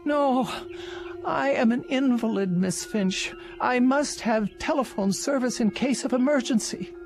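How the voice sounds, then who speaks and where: A woman's voice: a little high, measured pace, over music. A woman playing an elderly, solitary invalid in a radio drama, agitated, insistent and pleading. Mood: demanding, distressed.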